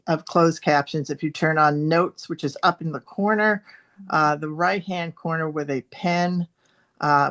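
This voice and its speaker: A woman interviewing a candidate for a political club on a video call, polite and halting with many fillers. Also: sits low. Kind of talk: moderating an endorsement interview.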